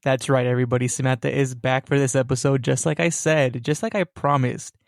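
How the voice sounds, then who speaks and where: A man's voice: mid-range voice, fairly even intonation, fairly quick, vocal fry, no room sound, studio quality. A young man hosting a casual supernatural podcast, rambling with fillers and tag questions like "right?". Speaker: podcast host.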